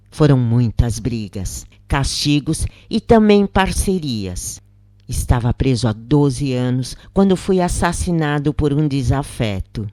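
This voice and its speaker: Slow, lively-pitched storytelling narrator. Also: no echo, clean studio sound. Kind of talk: scripted first-person narration of a spirit's story.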